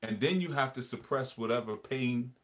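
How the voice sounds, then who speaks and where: A man's voice: mid-range voice, moderate pace, a bit bright. A man hosting a casual livestream discussion, talking earnestly and reflectively and often repeating words as he builds a point. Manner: earnest, reflective, repeats phrases while explaining. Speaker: livestream host.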